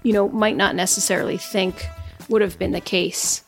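A woman co-hosts a podcast in casual, filler-laden chat. Her pitch is middling and her tone stays level and flat. Faint music sits under her voice. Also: moderate pace. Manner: chatty, many "um" and "you know" fillers. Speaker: podcast co-host.

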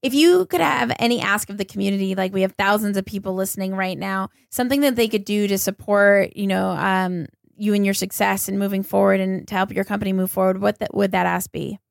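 A woman's voice: mid-range voice, brisk, creaky, hardly any pauses, no echo, clean studio sound. A woman hosting a podcast, putting casual interview questions to her guest.

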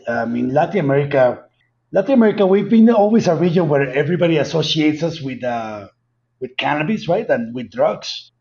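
A man's voice: on the high side, darkish tone, lo-fi sound. A man from Colombia with a Colombian Spanish accent, answering interview questions earnestly and emphatically, often repeating words. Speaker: guest, cannabis company founder.

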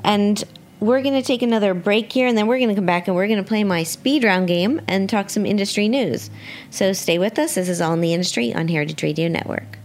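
A woman's voice: mid-range voice, a little fast. A woman hosting a radio show, chatting casually as she interviews a guest. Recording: clearly noisy background.

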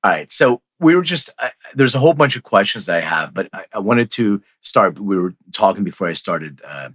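A man's voice: fairly low pitch, expressive, fairly quick, fairly bright tone, dry recording, studio quality. A man hosting a podcast in a casual, rambling conversational interview style. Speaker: podcast host.

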